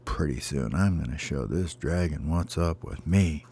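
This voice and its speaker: A man's voice: very deep voice, measured pace, mellow, slightly rough, pitched below the performer's own voice, exaggerated voice. A man voicing a brave, cocky baby bird knight in a children's story, with mock-heroic declarations. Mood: scheming.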